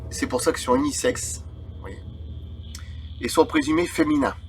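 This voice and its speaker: A man's voice: a little high, moderate pace. Man, thirties, casual video host, reading aloud, informal rambling delivery, eerie background music. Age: 30s. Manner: informal, rambling, digressive asides. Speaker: host of a UFO video channel. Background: eerie film music.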